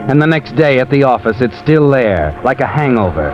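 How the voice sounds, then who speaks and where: Actor as insinuating suspense narrator, loud music behind. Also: male voice, mid-range voice, sing-song, moderate pace, natural voice. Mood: knowing, ominous. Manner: insinuating, dramatic, addressing the listener as "you". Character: insinuating second-person narrator, a knowing inner voice. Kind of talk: 1950s radio suspense narration. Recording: muffled old radio recording. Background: loud dramatic music.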